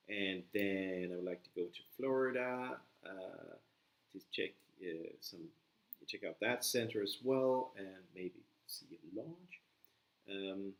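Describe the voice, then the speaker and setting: Swedish man, low-ish voice, chatty live-streamer, echoey home room, pieces clicking. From Sweden. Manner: chatty, rambling, reads and answers viewer comments. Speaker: livestream host building a model kit. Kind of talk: casual live-stream chat while building.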